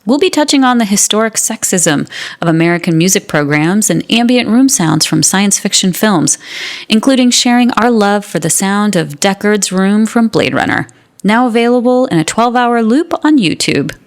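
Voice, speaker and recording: Actress as woman radio host, medium pitch, composed, earnest, scripted presenter delivery, radio drama. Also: animated, moderate pace, close to an everyday voice. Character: composed woman hosting an earnest new-music radio show.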